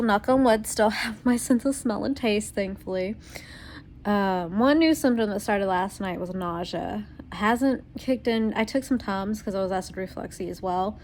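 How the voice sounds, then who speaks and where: A woman's voice: medium pitch, unhurried, a little mellow, a little hiss or hum. A young woman recording a casual video diary at home, her voice tired and congested while she is sick, rambling with lots of ums and small laughs. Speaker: vlogger.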